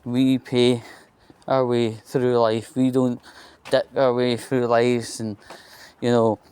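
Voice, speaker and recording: A Scottish man rambling through a casual anecdote in a halting, opinionated and aggrieved way. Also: medium pitch, little pitch movement, dark tone, clear, resonant tone. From Scotland. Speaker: vlogger.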